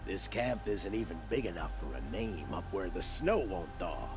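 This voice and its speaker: Creaky, shifty game-world opportunist. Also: male voice, low-pitched, very animated, normal speed, music in the background. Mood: grumbling, scheming. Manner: hesitant, stammering, throat-clearing, sly salesman patter. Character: shifty, blustering male opportunist merchant-gambler, bargaining and flattering. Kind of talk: video game dialogue acting.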